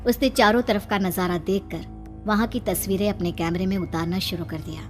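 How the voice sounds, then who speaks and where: A woman's voice: medium pitch, steady tone, a little fast, music in the background. A woman giving scripted narration of a horror story as its narrator.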